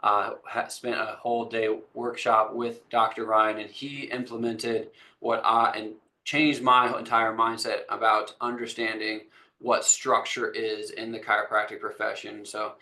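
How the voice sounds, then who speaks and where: A man's voice: lowish voice, level tone, measured pace, sharp tone, poor audio. A man hosting a webinar, introducing his guest with enthusiasm and a lot of repetition, speaking over a video call. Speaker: webinar host.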